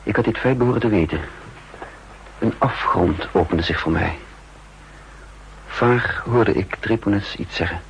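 An actor playing a cool, calculating spy chief who narrates in the first person, in a 1960s radio drama. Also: male voice, lowish voice, gravelly, dull audio, a little hiss or hum. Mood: tense. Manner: measured, sardonic. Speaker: narrator and lead.

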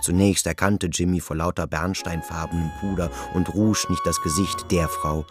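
An actor narrating a literary radio drama in a measured, matter-of-fact, observant manner. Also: male voice, deep voice, fairly even intonation, over loud music, close to an everyday voice.

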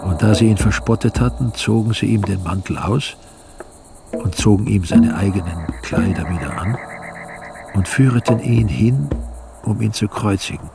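An actor playing a solemn evangelist, narrating scripture in a measured, grave way for a radio drama. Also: male voice, lowish voice, vocal fry, heavy background noise. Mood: grave narration.

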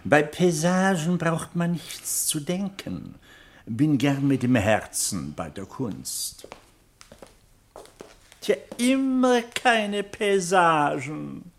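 An actor playing a simple-minded, complacent prince in a comic radio play, dithering vacantly between little interjections. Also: male voice, fairly high pitch, very animated, very slow, heavily acted.

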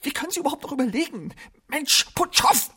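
A man playing a frantic, imperious gentleman in a radio drama, husky, agitated and commanding. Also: very high voice, sing-song, fast talker, heavily acted. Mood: frantic, urgent. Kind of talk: literary radio drama acting.

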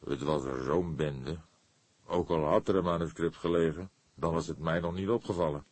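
Man playing a husky, unhurried older inspector. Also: extremely low pitch, steady tone, darkish tone, no echo. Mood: measured. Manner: unhurried, measured, dryly ironic. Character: calm, wry older male police inspector.

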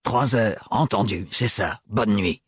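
A man reading aloud and voicing a tall, stern, gruff man who speaks curtly and flatly. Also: lowish voice, takes it slowly, no room sound, clean studio sound, exaggerated voice. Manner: curt, gruff, flat like an accountant.

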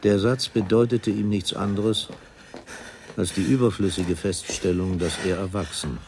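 An actor playing a grave, detached literary narrator in a radio drama, speaking in a measured, deliberate way. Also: male voice, low-pitched, fairly even intonation, noisy background. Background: music.

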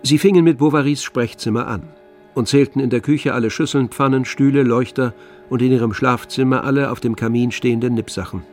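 A man's voice: mid-range voice, fairly quick, darkish tone, soft background music. A man narrating a literary radio drama in a scripted storytelling style.

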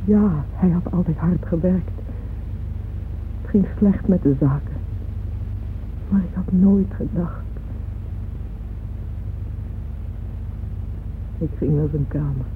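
An actress playing an anguished, remorseful mother in a radio play, confessing to a former lover with solemn, intense emotion. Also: sits low. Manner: solemn, slow, intensely emotional delivery with whispers. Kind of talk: dramatic radio play monologue. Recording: tinny, noisy old radio drama recording.

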